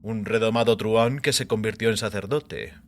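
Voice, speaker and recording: A man voicing a mysterious, self-assured skeptic with a measured, formal and mocking manner. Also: on the low side, close to an everyday voice. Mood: measured, curious. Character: mysterious male guest, self-assured, scornful skeptic of faith. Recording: background music under voice.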